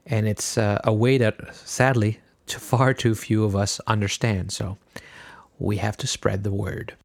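A man hosting a podcast, talking in an earnest, halting way with fillers. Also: on the low side, little pitch movement, vocal fry, dry recording. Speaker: podcast host. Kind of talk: casual podcast monologue.

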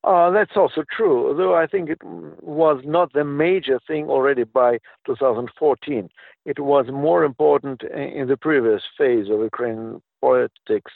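Man, gravelly voice, halting speech with restarts, phone-like dry sound. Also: mid-range voice, no echo. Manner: halting, many fillers and restarts. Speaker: political analyst guest. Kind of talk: interview answers. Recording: tinny phone-like line.

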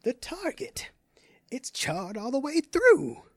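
A man voicing an excited elderly grandfather in an audio story, with a breathy, whispery voice. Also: on the high side, extremely slow, no echo, studio quality, exaggerated voice. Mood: excited amazement.

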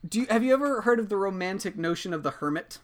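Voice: mid-range voice, lively intonation, fast. A podcast co-host in casual chat, telling stories and teasing their partner.

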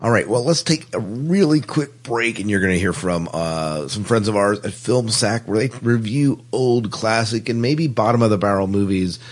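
A man's voice: lowish voice, normal speed, few pauses. A man co-hosting a podcast in a casual, chatty style. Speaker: podcast co-host.

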